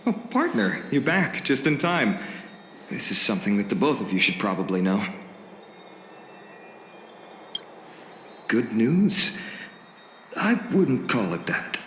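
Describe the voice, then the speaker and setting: An actor playing an earnest, loyal young hero in a fantasy video game, speaking in a measured, articulate way. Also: male voice, mid-range voice, big pitch swings, a bit bright, a little hiss or hum. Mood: friendly, frank. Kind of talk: scripted video game dialogue. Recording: echoey voice over game music.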